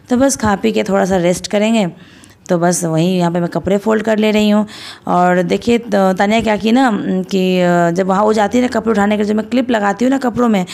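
A woman in her thirties from India, narrating her home chores in a chatty, homely voice-over, still hoarse after a cold. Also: mid-range voice, darkish tone. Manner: chatty, homely, rambling with fillers. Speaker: vlogger.

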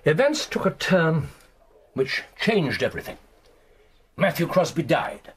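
An actor playing an incisive, commanding British detective in a radio drama, with precise, theatrical delivery and dramatic pauses. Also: male voice, a little high, sing-song, slightly rough, heavily acted. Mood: grave. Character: incisive, commanding male detective laying out a case. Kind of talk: BBC radio drama acting.

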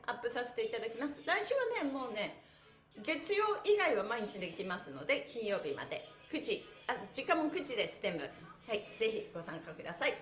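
A woman with a notably high voice hosts an exercise livestream in a roomy, echoing space. She is cheerful and encouraging, talking in a chatty way as she guides each movement. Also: a bit bright. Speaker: stretch class instructor and host. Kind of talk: livestream exercise lesson, counting with chanted phrases. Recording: echoey room.

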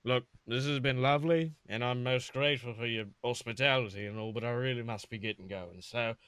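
A man's voice: mid-range voice, brisk, a bit bright, clear, resonant tone, dry recording, pitched below the performer's own voice, natural voice. A man voicing a roguish pirate captain who is polite but wary, hesitant and proud, in a comedic fan fiction reading. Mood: placating. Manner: hesitant, placating, proud when provoked.

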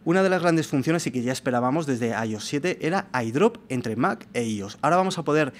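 A man's voice: medium pitch, expressive, brisk. A young man explains news to camera in the manner of a tech presenter. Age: young adult. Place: meeting room with large window, indoors. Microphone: microphone on a desk arm. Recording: clear background room noise.

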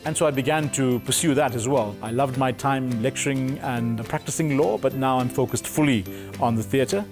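A man's voice: medium pitch, normal speed. South african guy, middle aged, explaining his theatre work, articulate, music behind him. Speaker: playwright and theatre director. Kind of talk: interview answers and explaining. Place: theatre auditorium and stage. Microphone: lapel mic.

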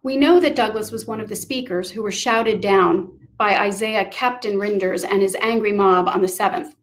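A woman presenting scripted historical narration in a formal, deliberate way. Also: medium pitch, poor audio.

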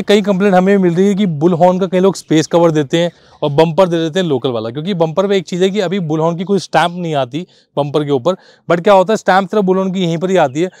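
A man's voice: high voice, darkish tone, dry recording, clean studio sound. A man, giving an informal, conversational product walkaround as a car accessory store presenter, explaining things directly to viewers. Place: outdoors on a dirt lot beside trees.

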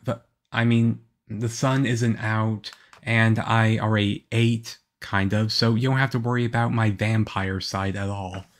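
A man playing a shy, nervous teenage-looking vampire boyfriend in an ASMR roleplay, halting and stammering awkwardly. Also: lowish voice, flat delivery, natural voice. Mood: earnest, nervous. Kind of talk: ASMR audio roleplay, one-sided conversation.

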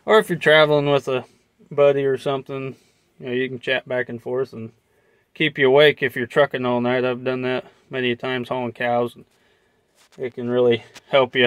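A man with an American accent talks through a practical demonstration in a casual, folksy manner. His voice sits at a medium pitch and his wording is plain-spoken. The sound is dry, with very little room echo, as he records inside a truck cab. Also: clear, resonant tone. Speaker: vlogger and trucker demonstrating gear. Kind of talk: casual hands-on tutorial. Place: inside an old truck cab, later outdoors by it.

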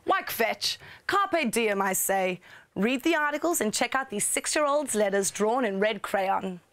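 A young woman hosting a news magazine show, reading a script briskly with wry asides in a studio. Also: on the high side, lively intonation, a bit bright, slight background noise. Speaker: show host. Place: studio with blue screen.

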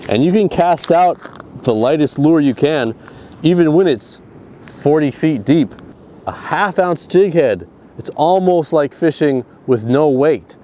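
A man in his thirties vlogging excitedly while fishing from a rocky ocean shore, recorded outdoors on an action camera. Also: a little high. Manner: excited, exclaiming. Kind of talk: outdoor vlog narration. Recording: faint surf and wind noise. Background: waves and wind.

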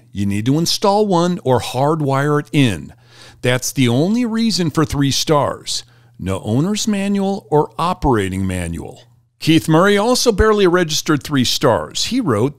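A man's voice: medium pitch, no room sound, clean studio sound. A man hosting a product review channel, reading a scripted review as a voice-over.

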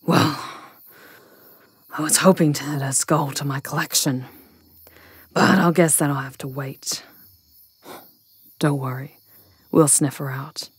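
A woman's voice: sits low, fairly even intonation, slow, pauses often, breathy edge, dry recording, heavily acted. A woman voicing a blunt, swaggering, bloodthirsty female werewolf pack leader in a fantasy ASMR roleplay. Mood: gruff, grudging.

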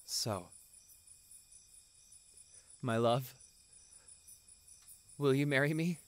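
A devoted young boyfriend played by a man in a romantic audio drama. He takes his time over every phrase. His delivery is soft, earnest and full of affection. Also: medium pitch, no room sound. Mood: tender, earnest. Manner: soft, tender, earnest, unhurried. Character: tender, devoted young doctor boyfriend proposing to partner.

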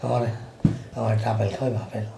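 Man acting sick pitiful old father, Bangladeshi accent, whining pleas, quick speech, family drama. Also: on the low side, little pitch movement, vocal fry, mellow. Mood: weak, begging. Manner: whining, repetitive begging. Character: ailing old father, needy, pitiful, childlike pleading.

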